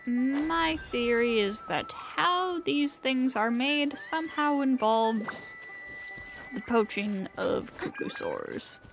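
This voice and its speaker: A woman streamer giving casual live commentary on a game, thinking aloud in a halting way. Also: sits high, soft background music.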